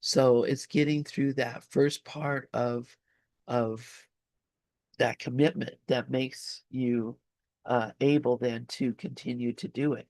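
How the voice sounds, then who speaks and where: Slow, gravelly-voiced man teaching informally. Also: mid-range voice, fairly even intonation, darkish tone, no echo. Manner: halting, searching for words, conversational. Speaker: spiritual study group leader.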